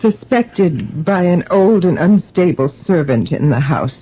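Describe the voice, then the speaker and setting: An actress playing a grave, troubled wife and mother in a radio drama, speaking formally and deliberately. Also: lowish voice, big pitch swings, breathy edge, heavily acted. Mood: troubled, solemn. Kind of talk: old-time radio drama acting. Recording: dull lo-fi radio recording.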